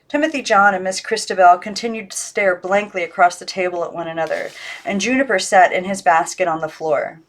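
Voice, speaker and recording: A woman's voice: mid-range voice, brisk. A woman giving scripted narration of a storybook as an amateur audiobook reader.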